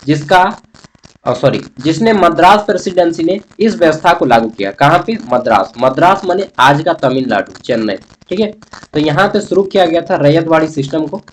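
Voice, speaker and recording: A man's voice: fairly high pitch, animated, moderate pace, poor audio. A man with a Jharkhand Hindi accent teaches an exam-prep lesson informally and colloquially, asking questions and answering them himself as he addresses students. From Jharkhand, India. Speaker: history teacher for college students.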